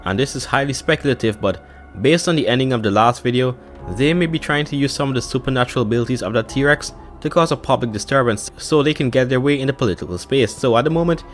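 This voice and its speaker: A man narrates at a quick clip, with music playing underneath. His delivery is a scripted explainer with a casual, conversational turn of phrase, sitting at an average male pitch. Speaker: narrator of a YouTube explainer channel. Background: background music.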